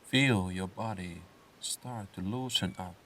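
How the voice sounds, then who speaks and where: A man narrating a scripted guided meditation in a soothing, unhurried way, with phrases set apart by pauses. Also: deep voice, a bit bright, distant mic, slight background noise. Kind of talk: slow scripted guided meditation with comic swearing.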